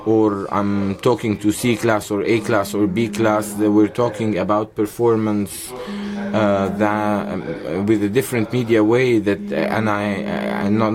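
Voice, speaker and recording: A man with an Egyptian accent interpreting a conference panel into English simultaneously, halting often and correcting himself mid-phrase. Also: lowish voice. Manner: halting, many pauses and self-corrections while keeping up. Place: conference hall interpreting booth.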